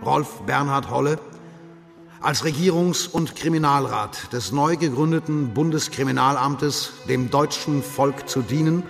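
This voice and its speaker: An actor playing a cold, bullying German police commissioner in a radio drama, interrogating with insistent, deliberate emphasis. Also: male voice, medium pitch, creaky, faint music behind. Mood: solemn. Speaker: police detective.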